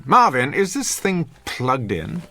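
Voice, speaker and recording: A man's voice: a little high, wildly swooping pitch, slow pace, sharp tone, soft background music, full character voice. A man playing a domineering, sarcastic wealthy husband in a radio drama, speaking with haughty, formal diction. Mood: smug, mocking. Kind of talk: classic radio drama acting.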